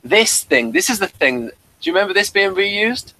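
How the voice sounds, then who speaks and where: A man hosting a casual commentary chat about a TV episode, repeating words haltingly as he thinks. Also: high-pitched, very animated, pushed and bright, no echo, low-quality recording. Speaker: podcast host.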